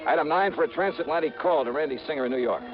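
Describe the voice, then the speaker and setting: An actor playing a brash, wry American insurance investigator in a vintage radio drama, speaking in clipped, slangy lines. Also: male voice, a little high, racing, lightly acted. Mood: wry narration. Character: hardboiled male freelance insurance investigator, brash, impatient, wry. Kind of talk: 1950s radio drama acting and first-person narration. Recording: dull vintage radio recording with music.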